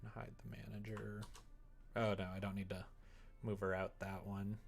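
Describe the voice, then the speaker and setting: A creaky-voiced livestreamer chatting casually and thinking aloud while coding, rambling, muttering and a little self-deprecating. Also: sits low, flat delivery, normal speed. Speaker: livestreamer making a game.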